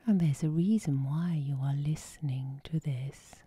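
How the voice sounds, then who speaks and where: A woman giving guided sleep hypnosis in a soft, whispery ASMR style, gentle and soothing. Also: sits low, unhurried, husky, no echo. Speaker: hypnotherapist, channel host.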